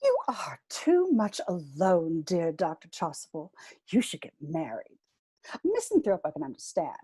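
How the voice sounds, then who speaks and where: Woman doing a coy moralizing middle-aged governess for a stage play, husky, very swoopy delivery. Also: on the low side, wildly swooping pitch, moderate pace, mellow, no echo, full character voice. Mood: coyly moralizing. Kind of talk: dramatic reading of a stage comedy.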